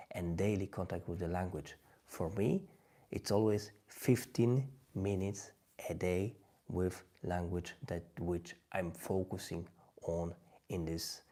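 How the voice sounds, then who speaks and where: Polish-accented male vlogger, dark and mellow, halting delivery. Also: low-pitched, creaky. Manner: halting, many restarts and "yeah?" tags. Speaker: language-learning vlogger. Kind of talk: direct-to-camera talk.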